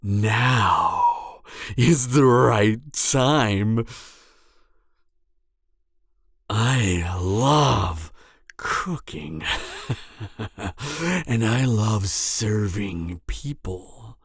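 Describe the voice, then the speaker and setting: Male actor as gloating cannibal serial killer, audio drama. Pompous and theatrical, unhurried delivery, wildly varied intonation. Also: on the low side, lively intonation, breathy edge, dry recording, studio quality, exaggerated voice. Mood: sinister, savoring. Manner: theatrical, drawn-out phrasing with frequent chuckling. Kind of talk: roleplay audio drama monologue to the listener.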